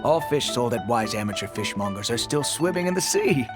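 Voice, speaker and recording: An actor playing a cheery, sly fish seller in a video game, with showy hawker's patter and music behind. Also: male voice, mid-range voice, animated, fairly quick, lightly acted. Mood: jovial, sly. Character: cheery, sly amateur fishmonger man hawking seafood. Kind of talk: video game character acting.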